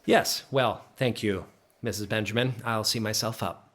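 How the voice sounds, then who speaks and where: An actor playing a troubled, bookish, melancholy man in an audio drama, speaking and narrating in a halting, introspective way. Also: on the low side, noisy background, close to an everyday voice. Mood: polite, reserved. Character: troubled, bookish, melancholy man revisiting childhood holiday guesthouse.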